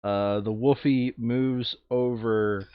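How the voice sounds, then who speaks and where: A man's voice: medium pitch, fairly even intonation, slow, clear, resonant tone, dry recording. A man chatting casually and jokily as he plays a tabletop game. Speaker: board game player. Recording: dull lo-fi sound.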